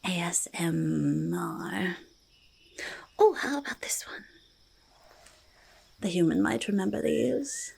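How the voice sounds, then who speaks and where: A woman voicing a quirky, eager little goblin in a soft-spoken ASMR roleplay, creaky and whispering, with halting, repetitive speech. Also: mid-range voice, a little hiss or hum, full character voice. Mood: delighted, playful. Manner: halting, repetitive, third-person speech with drawn-out words. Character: quirky, eager, faintly menacing little goblin, friendly to humans. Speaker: ASMR performer in character.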